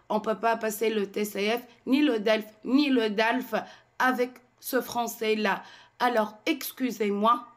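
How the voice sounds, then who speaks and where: Slow, indignant Tunisian woman. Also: a little high. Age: 40s. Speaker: French teacher. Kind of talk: ranting to camera.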